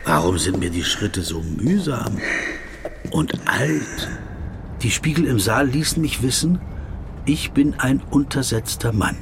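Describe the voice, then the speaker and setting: A man's voice: medium pitch, expressive, measured pace, vocal fry, background noise. An actor playing a stocky, fussy legal counsel who recounts a surreal trip in literary first person, savoring his words. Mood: puzzled, wondering. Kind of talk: literary first-person narration in a radio play.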